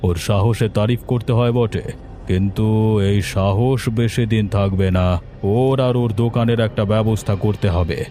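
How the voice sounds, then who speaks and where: A man's voice: fairly low pitch, fairly even intonation, normal speed, mellow, slight background noise, lightly acted. A man voicing a vain, domineering village landlord who broods menacingly in a fairy-tale cartoon. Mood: threatening.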